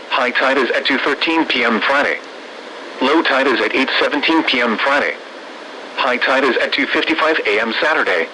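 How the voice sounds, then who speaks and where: An automated male announcer reading a scripted weather bulletin in formal, measured, synthetic phrasing. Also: medium pitch, pushed and bright. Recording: noisy weather radio broadcast.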